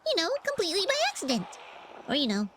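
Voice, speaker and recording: A high, very animated, sassy little creature girl. She is theatrical and mischievous, a cartoonish game sidekick with clear background game sound. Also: fast talker, noisy background. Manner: theatrical, sarcastic, bubbly. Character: small sassy flying fox-bat sidekick, mischievous girl. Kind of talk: cartoonish video game voice acting. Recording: game ambience behind the voice.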